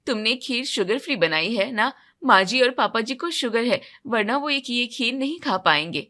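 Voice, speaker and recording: Capable elder sister-in-law for a family audio drama, fairly high, bright, caring and in charge woman performer. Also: animated, moderate pace. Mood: helpful. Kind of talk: acted dialogue in a Hindi audio story.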